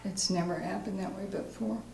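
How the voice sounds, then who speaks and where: A woman performing a dramatic monologue as a guilt-ridden recovering alcoholic mother, halting, sombre and confessional. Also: mid-range voice, steady tone, creaky, darkish tone, close to an everyday voice. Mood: subdued, ashamed. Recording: roomy echo, faint noise.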